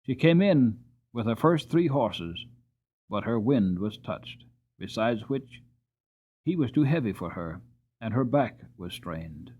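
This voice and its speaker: A man giving a dramatic, deliberate and expressive storybook narration on a muffled, narrow-sounding recording. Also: on the low side, breathy edge. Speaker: audiobook narrator.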